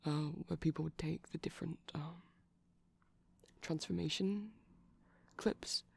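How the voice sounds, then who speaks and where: A man doing a soft-spoken ASMR boyfriend roleplay as a shy, gentle vampire, rambling haltingly in a breathy voice that often drops to a whisper. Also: fairly high pitch, fairly even intonation.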